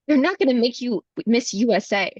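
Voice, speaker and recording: Woman acting a husky, high-pitched defiant beauty queen. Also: fairly quick, no echo, highs cut off. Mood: accusing. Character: defiant, frightened young beauty-queen woman fighting back. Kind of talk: live screenplay table read.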